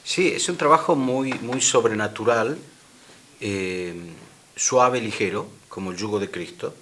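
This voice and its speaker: A man's voice: fairly low pitch, unhurried, a bit bright, a little hiss or hum. A man with an Argentine accent, a guest speaker, explaining his teaching in an earnest way in a studio interview. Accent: Argentine Spanish. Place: radio studio.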